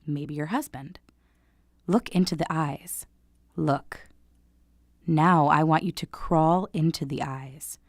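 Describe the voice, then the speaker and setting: Radio play: measured female therapist, clinical, quietly authoritative, slightly low register. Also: exaggerated voice. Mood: hypnotic, commanding. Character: composed, clinical female therapist with hypnotic methods.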